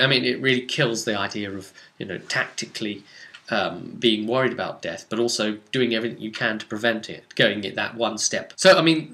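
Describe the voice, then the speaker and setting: A man speaking as a game master, casually recounting a tabletop role-playing session in an animated, rambling way, stressing points and then trailing off. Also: lowish voice.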